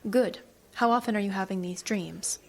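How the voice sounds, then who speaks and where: A woman's voice: medium pitch, normal speed, gravelly. Cool, sardonic actress as a woman agent. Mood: wry, controlled. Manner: dry, controlled, teasing, knowing. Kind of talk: naturalistic audio drama acting.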